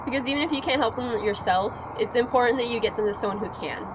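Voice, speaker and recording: A teenage girl chats in an earnest, reassuring way and giggles easily. Her voice is fairly high. Street noise and passing cars come through clearly. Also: fast talker. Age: teens. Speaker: student co-host. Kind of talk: casual chat.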